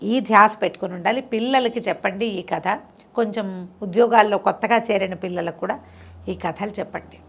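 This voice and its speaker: A woman's voice: medium pitch, brisk, fairly bright tone, husky. A middle-aged woman tells a moral story conversationally, repeating phrases for emphasis and explaining the lesson simply.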